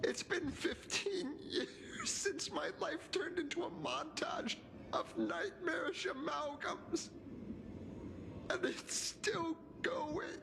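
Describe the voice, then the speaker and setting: Very high, high-strung dramatic character. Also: male voice, slow pace, creaky, background noise, heavily acted. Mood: tearful anguish. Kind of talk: video game voice acting. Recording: loud game music behind the voice.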